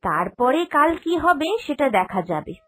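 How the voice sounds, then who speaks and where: A woman's voice: high voice, big pitch swings, moderate pace, a bit bright. A woman voicing a sensible, caring young woman of the family in a cartoon drama, earnest and reassuring. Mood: reassuring, explaining. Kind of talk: Bengali cartoon drama dubbing.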